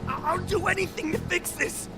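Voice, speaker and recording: A terrified, groveling city worker, with a very high, strained voice. He pleads and apologizes frantically. Loud game music plays under him. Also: normal speed. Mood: panicked. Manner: panicked and apologetic. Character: frightened male city gardener, groveling. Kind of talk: video game voice acting.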